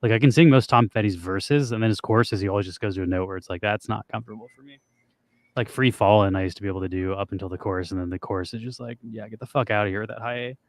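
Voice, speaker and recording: A young man with a low, raspy voice, chatting loosely and swearing casually as a podcast co-host. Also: rapid-fire. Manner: loose and profane, trails off with fillers. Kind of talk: casual chat with guitar and singing.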